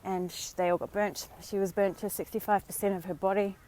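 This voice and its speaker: An Australian woman talks casually into her phone while out walking, her voice a little hoarse and croaky from a sore throat. She speaks in a chatty, encouraging way, with plenty of ums and friendly asides, at a middling pitch. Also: steady tone, a little fast, warm tone, rough recording. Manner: chatty, encouraging, many fillers. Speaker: vlogger and dating coach. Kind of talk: casual live-stream talk. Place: outdoors on a walk.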